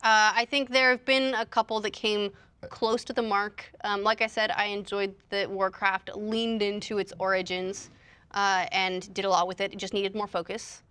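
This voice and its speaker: A woman speaking as a panelist in a casual discussion, giving opinions in a measured way. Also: medium pitch, little pitch movement, pushed and bright, clean tone.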